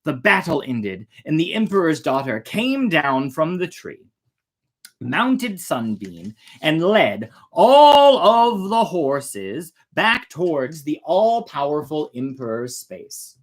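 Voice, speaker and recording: A storyteller hosting a fairytale reading in an animated style with casual asides. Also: medium pitch, slow pace. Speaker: storyteller, host.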